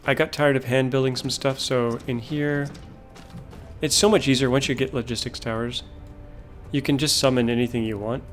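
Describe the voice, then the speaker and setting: A man's voice: medium pitch, steady tone, moderate pace, soft background music. Man musing aloud over a factory game. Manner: musing, thinking aloud while playing. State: losing his voice. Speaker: gameplay video host. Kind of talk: casual let's-play commentary.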